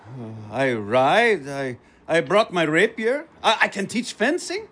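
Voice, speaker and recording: High-voiced, animated exiled nobleman. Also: husky, heavily acted. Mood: flustered, earnest. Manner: hesitant, halting, theatrical. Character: proud exiled European nobleman, idealistic, bumbling, restless. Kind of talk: audio drama stage acting.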